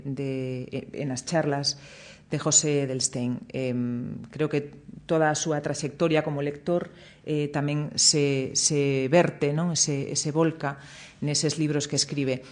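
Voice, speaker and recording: A woman hosting a live science talk, introducing a guest in a halting way and searching for words. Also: deep voice, darkish tone.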